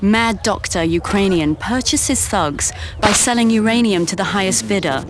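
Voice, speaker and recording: An actress playing a sharp-tongued female intelligence officer who briefs a pilot in a crisp, sardonic manner. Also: mid-range voice, normal speed, close to an everyday voice. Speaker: intelligence briefer in a game cutscene. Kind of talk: scripted game cutscene briefing. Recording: loud music behind the voice.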